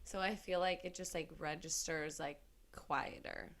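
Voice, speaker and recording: A young woman co-hosts a casual podcast with a raspy, slightly low voice. She rambles with lots of "like" and laughs often. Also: steady tone, measured pace, fairly bright tone. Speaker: podcast co-host and editor. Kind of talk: casual chat.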